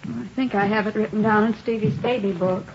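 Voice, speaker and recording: A woman playing a worried, suspicious mother of a missing boy in a 1950s radio drama, halting and pressing. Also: mid-range voice, fairly even intonation, darkish tone, lightly acted. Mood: anxious, suspicious. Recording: dull, noisy old radio recording.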